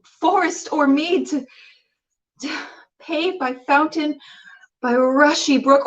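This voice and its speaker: An aggrieved, poetic fairy queen, played by a woman declaims a Shakespearean stage monologue in a slightly high, measured, dramatic voice. She sounds a little away from the mic. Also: fairly bright tone, dull audio. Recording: distant camera microphone.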